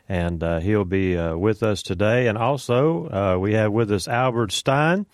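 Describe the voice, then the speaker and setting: A man's voice: on the low side, unhurried, no echo, studio quality. A man hosting a morning religious radio show, chatting in a folksy way with many fillers and greeting listeners by name. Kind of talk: casual morning radio chat. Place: radio studio. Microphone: studio microphone.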